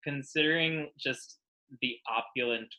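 An American man from New York chatting casually as a podcast guest, telling personal anecdotes in an animated, self-mocking way with plenty of swearing. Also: medium pitch, unhurried, rough recording. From New York City, United States.

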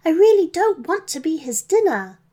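Storybook young girl, played by a woman: scared, pleading, very high voice. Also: big pitch swings, normal speed, dark tone. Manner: plaintive, pleading. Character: frightened, tearful young girl held prisoner. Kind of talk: children's storybook character voice.

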